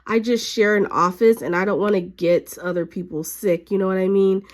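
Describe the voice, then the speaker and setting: A woman hobby vlogger who is a little sick and a bit raspy, chatting casually with lots of fillers while she works at her craft desk at home. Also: medium pitch, normal speed. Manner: chatty, relaxed, frequent fillers.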